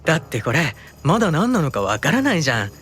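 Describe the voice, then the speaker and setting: An actor playing a gentle, unflappable, curious young man in an anime-style audio drama, with a mild musing manner. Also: fairly high pitch, huge pitch swings, fast talker, breathy edge, exaggerated voice. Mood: serene, curious.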